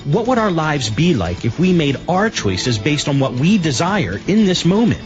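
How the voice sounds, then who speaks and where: A man reading a scripted radio show promo in a smooth, measured announcer style. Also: on the high side, sing-song, lo-fi sound. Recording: read over clear music.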